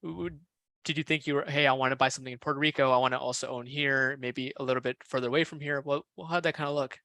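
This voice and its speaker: A man's voice: medium pitch, fairly even intonation, quick pace, bright, forceful tone, dry recording. A man hosting a podcast, asking questions in a casual, upbeat way. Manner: upbeat, friendly, laughs easily. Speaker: podcast host. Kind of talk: casual interview chat.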